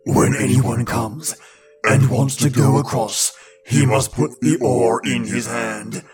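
A man voicing a gruff, irritable devil in a storybook reading, grumbling with emphatic delivery. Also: medium pitch, takes it slowly, over loud music, voice pushed down, natural voice. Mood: scornful, knowing. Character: gruff, suspicious, irritable devil, easily angered.

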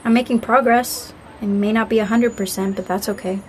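A woman's voice: fairly high pitch, fairly even intonation, a little fast, slight background noise, lightly acted. A woman playing a grieving young woman in an audio drama, apologetic and wryly hopeful, speaking casually and sincerely. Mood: reflective, sincere. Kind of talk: naturalistic audio drama acting.

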